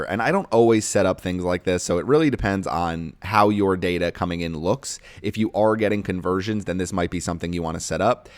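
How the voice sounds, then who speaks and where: A man's voice: sits low, no room sound. A man hosting a casual screen-share tutorial, explaining each step in a plain-spoken, instructional way. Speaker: tutorial host and online course creator.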